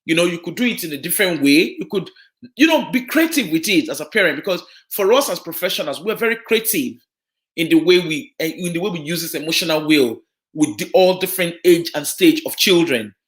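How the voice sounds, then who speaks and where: A woman's voice: lowish voice, expressive, a little fast. A woman from Nigeria with a Yoruba accent, giving an earnest, explanatory live broadcast talk on social work, full of fillers and restarts. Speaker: social work guest speaker.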